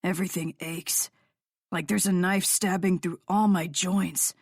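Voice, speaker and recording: A woman voicing a stubborn young man sick from withdrawal, speaking weakly in a low, hollow voice. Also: fairly even intonation, a little slow, slightly rough, no room sound, studio quality.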